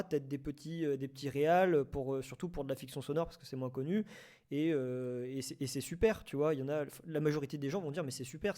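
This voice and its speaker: A man's voice: on the high side, dark tone. A man in a casual debate, often groping for the right word and repeating himself. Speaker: panelist in a debate. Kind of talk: casual debate talk about audio fiction.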